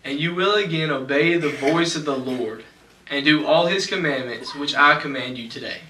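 A young man preaching a sermon from a church pulpit, reading scripture slowly and deliberately. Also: a little high, bright, forceful tone, a little hiss or hum. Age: young adult. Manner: measured, slows for scripture reading. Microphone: pulpit mic, far camera. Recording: echoey church from far off.

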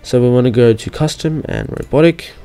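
A young man giving an informal, slightly hesitant step-by-step software walkthrough as a tutorial host. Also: medium pitch, a little fast, clean tone. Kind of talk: casual software screen-recording walkthrough.

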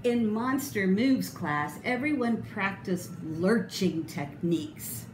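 Woman, fifties, children's read-aloud, very slow pace, lively intonation, roomy sound with background noise. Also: medium pitch. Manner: deliberate, dramatic emphasis. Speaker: storybook reader.

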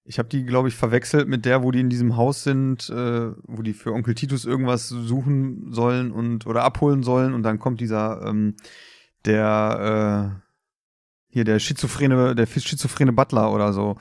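A man's voice: medium pitch, level tone, moderate pace, a little mellow, no echo, studio quality. A man co-hosting a podcast in casual chat, searching for words as he goes. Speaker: podcast co-host.

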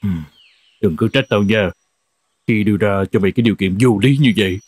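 An actor playing a farmer who owns a horse in an animated fairy tale, theatrical, exclamatory and prone to stammering. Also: male voice, lowish voice, fairly quick, breathy edge, no room sound. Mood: musing, regretful. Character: old-fashioned male farmer, horse's master, astonished then remorseful.

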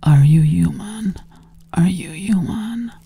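A woman's voice: lowish voice, animated, slow, creaky, mellow, slightly rough, dry recording, full character voice. A young woman voicing a sassy, bossy alien girl in an ASMR roleplay, whispering breathily up close and repeating short phrases insistently. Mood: bossy, probing. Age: young adult. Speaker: ASMR performer. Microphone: close to the mouth.

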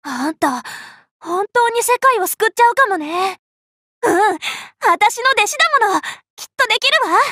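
A woman voicing a cheerful, bossy, scatterbrained young female Buddhist monk in an anime game, boastful and childlike in an expressive, exclamatory way. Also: extremely high pitch, moderate pace, full character voice. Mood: encouraging. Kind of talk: Japanese anime game character voice lines.

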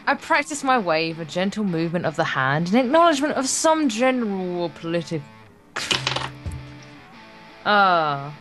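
A woman's voice: medium pitch, very animated, moderate pace, pauses often, music in the background. A woman co-hosting a let's play, reading game text aloud with casual, playful banter. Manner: playful, sweary, stumbles when reading.

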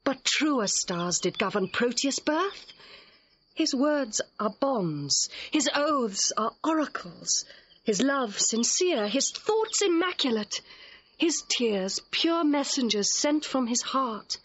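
A woman's voice: high voice, big pitch swings, takes it slowly, dry recording, muffled recording, full character voice. An actress playing a headstrong, playful young noblewoman in love, speaking Shakespearean verse with ardent lyricism in an audio drama. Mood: earnest, devoted.

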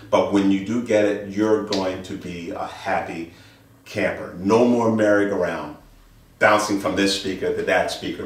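A middle-aged man presenting products informally as an audio dealer, emphatic and fond of repeating phrases. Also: deep voice. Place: indoor wood-floored listening studio. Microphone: handheld camera a few meters away. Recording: echoey room, distant camera mic, music behind.

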